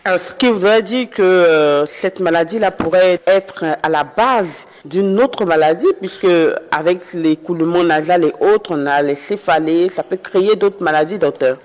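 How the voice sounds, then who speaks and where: A woman's voice: on the low side, a little mellow. Hesitant woman interviewer, echoing room. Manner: hesitant, with frequent drawn-out fillers. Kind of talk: interview questions.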